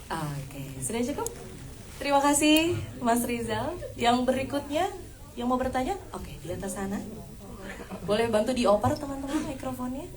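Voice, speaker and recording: Female MC, mid pitch, brisk and friendly, crowd noise behind. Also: lively intonation, rough recording. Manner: brisk and friendly, joking aside. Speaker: event host. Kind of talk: moderating a press Q&A. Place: premiere event hall with audience.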